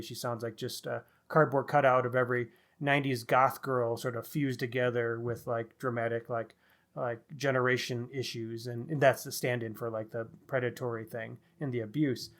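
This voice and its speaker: A middle-aged man co-hosting a book podcast, giving opinionated, analytical takes in a casual, wordy way. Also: medium pitch.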